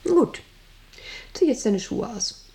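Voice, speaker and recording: An actress playing a domineering, rambling factory director in a radio drama, speaking in a condescending, probing way with abrupt commands. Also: female voice, fairly high pitch, big pitch swings, takes it slowly, gravelly, dark tone, full character voice. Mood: intense, musing. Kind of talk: German radio drama acting.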